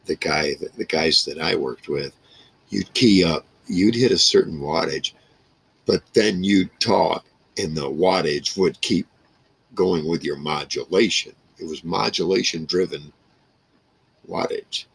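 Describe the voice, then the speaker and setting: A man speaks in an extremely low, creaky and husky voice. He rambles casually to camera, talking in halting, broken phrases like an informal vlog. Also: poor audio. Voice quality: gravelly, rough. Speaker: vlogger reminiscing about a radio hobby. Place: small wood-paneled room indoors.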